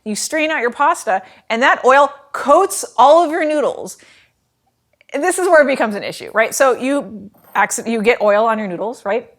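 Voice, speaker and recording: A woman's voice: a little high, moderate pace. Chatty woman teaching pasta cooking. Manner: chatty and animated, lots of "like" and gestures. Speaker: cooking show host. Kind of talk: casual cooking lesson to camera. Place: home kitchen, indoors.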